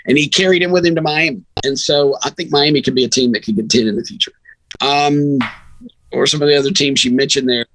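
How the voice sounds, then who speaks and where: Bright-voiced man chatting about sports. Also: mid-range voice, fast, a little hiss or hum, poor audio. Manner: conversational, anecdotal, rambling. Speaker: guest, sports radio announcer. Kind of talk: casual sports chat and storytelling.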